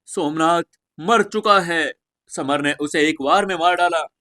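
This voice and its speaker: A young male bystander in a fantasy audio story, acted by a man narrating it. His pitch is raised, and he draws words out emphatically to assure his friend. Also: unhurried, sharp tone, no echo, clean studio sound. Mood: emphatic assurance.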